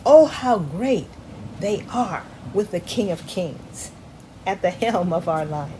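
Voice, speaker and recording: Slow, dramatic woman preacher. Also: lowish voice, darkish tone. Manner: dramatic, drawn-out emphatic phrasing. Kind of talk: sermon. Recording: noisy recording with background ambience.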